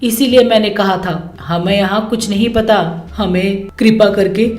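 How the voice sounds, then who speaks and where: A woman voicing a boastful, blunt old mother-in-law in a cartoon story, talking loudly and scolding. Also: medium pitch, steady tone, a little slow, lightly acted. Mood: grumbling. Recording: roomy echo, faint music behind.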